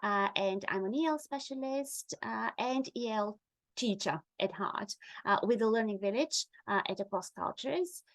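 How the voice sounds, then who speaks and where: A woman's voice: fairly high pitch, normal speed, no room sound. A middle-aged woman whose first language is Bulgarian, presenting an online webinar in a friendly way with many ums and uhs. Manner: friendly, with frequent fillers.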